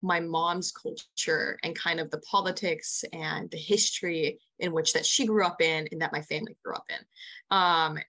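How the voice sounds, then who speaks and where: Chatty young American woman, lowish pitch. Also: steady tone, normal speed, pushed and bright, low-quality recording. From United States. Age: early 20s. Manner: enthusiastic, chatty, frequent "like". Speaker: interview guest, young nonprofit founder. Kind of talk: casual spoken interview answers.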